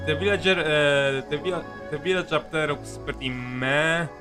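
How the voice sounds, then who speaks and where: Halting man rambling over game music. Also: fairly high pitch, fairly even intonation. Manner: halting, repeats words, jokey. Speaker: game streamer. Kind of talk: casual rambling gameplay commentary.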